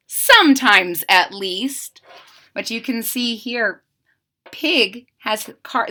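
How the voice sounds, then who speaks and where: A woman reading a picture book aloud in a theatrical, drawn-out way, coaxing young listeners with questions and explanations. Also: on the high side. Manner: animated, theatrical, drawn-out emphasis; coaxing, explaining asides to children. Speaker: children's story reader and channel host.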